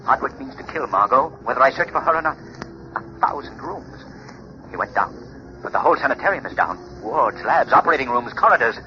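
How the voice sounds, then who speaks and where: An actor playing a menacing invisible crime-fighting avenger in an ominous, declamatory 1930s radio drama style. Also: male voice, medium pitch, expressive, fairly quick, close to an everyday voice. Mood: desperate resolve. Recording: muffled 1930s radio, music behind.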